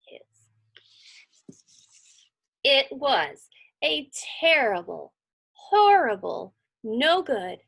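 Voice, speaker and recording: A woman's voice: high-pitched, creaky, fairly bright tone, breathy edge. A woman reading a children's story aloud expressively, with dramatic emphasis and chatty asides. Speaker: storybook reader. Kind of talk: animated children's read-aloud with conversational asides.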